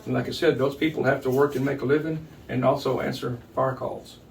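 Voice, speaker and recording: An older man answers interview questions as a local official. His voice has an ordinary middle pitch and is picked up from a little distance. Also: steady tone, a little fast, gravelly, crowd noise. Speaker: county judge being interviewed.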